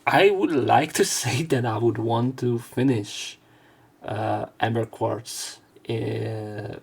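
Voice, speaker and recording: A man's voice: lowish voice, vocal fry, a little mellow, husky. A young man hosting a let's play, casually commenting and reading narration aloud in a rambling, hesitant way.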